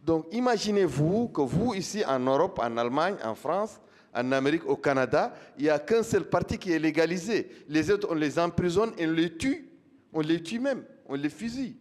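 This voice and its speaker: A man's voice: fairly high pitch, lively intonation, fairly quick, darkish tone. A man from Mauritania speaking in a panel discussion, emphatic and repeating phrases for force. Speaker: political party founder, panelist. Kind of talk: impassioned panel speech. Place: large conference hall. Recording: long hall reverb.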